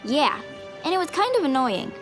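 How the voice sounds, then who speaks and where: A blunt, tough young cartoon girl, with a fairly high voice and a frank, caring manner. Clear music plays behind her animated-series dialogue. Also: moderate pace. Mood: teasing. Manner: frank, plain-spoken.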